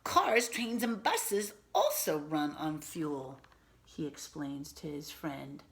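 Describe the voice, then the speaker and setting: Animated woman reading aloud, slightly creaky voice. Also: low-pitched. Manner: patient and expressive, pausing to explain and question young listeners. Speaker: teacher reading a picture book aloud to children. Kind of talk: animated read-aloud with simple explanatory asides.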